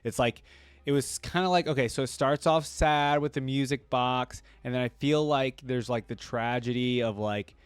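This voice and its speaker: A man's voice: mid-range voice, moderate pace, smooth, no room sound. A man hosting a reaction video, rambling conversationally and musing aloud in casual commentary. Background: game music.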